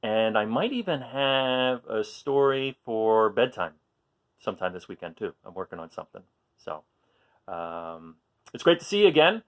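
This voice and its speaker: Animated man reading aloud to young children. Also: fairly low pitch, moderate pace, clear, resonant tone. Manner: expressive, simple explanations, addresses children directly. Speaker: children's storyteller, teacher.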